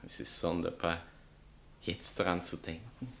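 An actor playing a vain, sardonic bohemian writer and spurned lover, pompous and mocking, in a satirical radio play. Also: male voice, low-pitched, fairly even intonation, a little slow, gravelly. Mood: sardonic, nostalgic. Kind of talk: theatrical literary satire dialogue.

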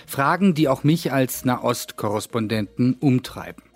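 A man's voice: medium pitch, expressive, moderate pace. A man working as a foreign correspondent, delivering scripted radio commentary. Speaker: Middle East correspondent. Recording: music bed behind voice. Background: music.

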